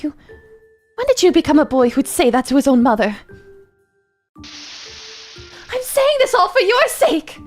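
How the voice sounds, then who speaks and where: A woman's voice: high voice, darkish tone. A woman playing an anxious mother in a drama, coaxing and fussing over her troubled eldest son with pleading insistence. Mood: scolding. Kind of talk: dramatic character acting.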